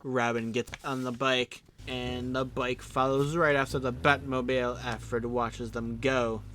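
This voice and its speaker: Guy doing dramatic audio drama narration, kinda slow, announcer-ish w/ jokey asides, music behind him. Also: mid-range voice, flat delivery, a bit bright. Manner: theatrical, announcer-like, breaks into offhand asides.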